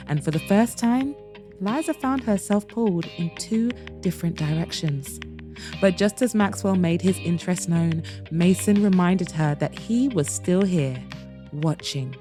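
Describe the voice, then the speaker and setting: A woman hosting a podcast and narrating an audiobook in a dramatic, expressive way, with slow emphatic pauses. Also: fairly low pitch.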